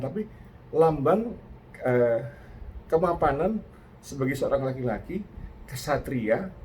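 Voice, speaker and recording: An older Indonesian man giving a casual reaction talk, reflective and earnest, pausing and restarting often. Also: fairly high pitch, mellow, slight background noise, rough recording. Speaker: host.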